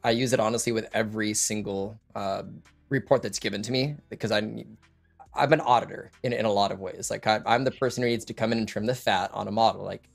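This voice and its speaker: A man's voice: fairly low pitch, fairly even intonation, fast talker, gravelly, faint music behind. A man in his thirties hosting a casual interview over a video call from his home, chatting loosely with many fillers and restarts. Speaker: host. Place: home room with lit wall panels and couch.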